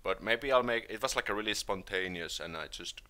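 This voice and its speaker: Man, Finnish accent, low voice, relaxed live stream host, chatty, full of fillers and restarts. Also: a little fast. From Finland. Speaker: host answering live chat questions. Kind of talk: casual live stream chat.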